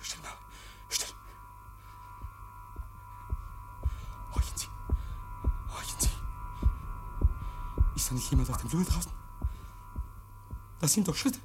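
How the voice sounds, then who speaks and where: A man's voice: a little high, sing-song, a little slow, long pauses, over loud music. An actor in a 1950s radio play playing a nervous, paranoid man hiding guilt, agitated and stammering. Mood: haunted, listening. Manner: agitated, stammering, insistent, hears imaginary noises. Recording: vintage 1950s radio recording.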